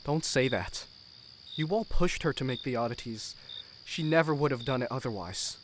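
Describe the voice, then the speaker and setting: A man's voice: medium pitch, expressive, moderate pace, background noise, close to an everyday voice. A man playing a young, uneasy crew member in an audio drama, earnest, nervous and defensive. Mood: wary, defensive. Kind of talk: scripted audio drama acting.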